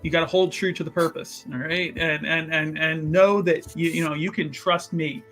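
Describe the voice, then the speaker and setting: A man's voice: a little high, fairly even intonation, normal speed, fairly bright tone, faint music behind, lightly acted. A man playing a self-important, zealous scholar in a tabletop game, speaking emphatically and repeating himself as he rallies a devoted student. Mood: fervent, reassuring.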